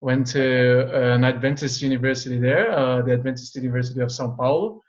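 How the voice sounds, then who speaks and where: A man's voice: mid-range voice, fast talker. A young male pastor from Brazil, a native Portuguese speaker, chatting in an easygoing, modest way and laughing often. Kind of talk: casual interview chat. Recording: dull lo-fi sound.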